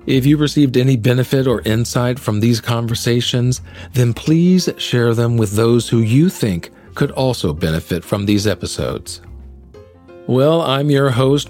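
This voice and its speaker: A man's voice: medium pitch, darkish tone. A man speaking as a podcast host in a conversational interviewing style.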